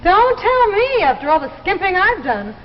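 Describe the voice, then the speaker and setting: An actress playing a bitter, nagging wife with an American accent and biting sarcasm, in 1950s melodrama style. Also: female voice, very high voice, very animated, moderate pace. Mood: scornful, nagging. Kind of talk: 1950s melodramatic anthology acting. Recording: muffled, reverberant 1950s broadcast with noise.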